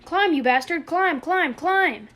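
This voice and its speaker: A woman narrator acts out a hysterical male airman in a war novel. The voice is pushed high and loud, shrieking frantic orders and pleading in sheer panic. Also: fast talker. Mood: frantic screaming. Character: hysterical, terrified young male bombardier shrieking commands.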